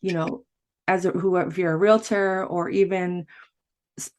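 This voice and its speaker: A woman's voice: on the low side, steady tone, unhurried. A woman hosting a podcast interview, talking casually and rambling a little, with restarts and frequent laughter. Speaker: podcast host.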